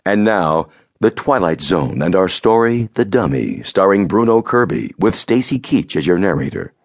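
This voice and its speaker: A man's voice: deep voice, lively intonation, moderate pace. A man announcing the title and cast of a radio drama.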